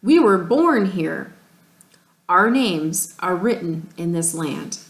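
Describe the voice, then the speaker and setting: A woman reading the part of a steady, reassuring farmer father in a storybook. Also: lowish voice, slow pace, pitched below the performer's own voice. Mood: quietly reassuring. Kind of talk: storybook read-aloud. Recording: echoey room.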